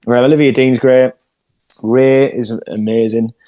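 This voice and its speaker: A man's voice: mid-range voice, steady tone, a little slow, mellow, dry recording. A young English man, a singer-songwriter, chatting in an interview over a video call and often restarting his sentences. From England. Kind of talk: casual interview chat.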